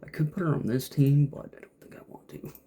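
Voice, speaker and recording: A man's voice: on the high side, fast talker, mellow, slight background noise. A man giving casual, off-the-cuff gameplay commentary, muttering and thinking aloud to himself as he plays. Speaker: gamer playing and commenting.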